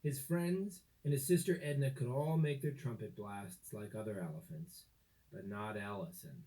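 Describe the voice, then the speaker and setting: A man narrating a children's story from a script, with dramatic, deliberate phrasing and expressive emphasis. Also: lowish voice, rough recording.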